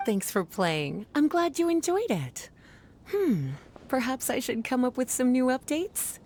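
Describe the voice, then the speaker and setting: An actress voicing a kindly, poised adult woman in a video game, coaxing and gently teasing in an indulgent, unhurried manner. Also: high-pitched, very animated, heavily acted. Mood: playful, pleased. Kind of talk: animated video game voice acting.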